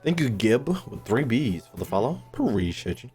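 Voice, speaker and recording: Guy streaming a game, joking around in slang, regular pitched voice, quiet music behind. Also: very animated, normal speed. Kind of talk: casual live gameplay commentary.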